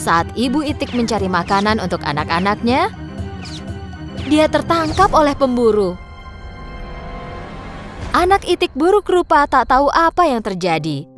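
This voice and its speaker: A woman reads a children's tale as a narrator. Her voice is high and swings widely in pitch, giving an expressive storybook delivery. Music plays clearly behind her. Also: a little slow, long pauses.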